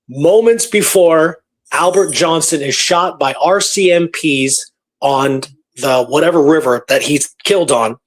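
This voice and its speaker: A man hosting a radio show, telling a story in a casual, conversational way and repeating phrases for emphasis. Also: on the high side.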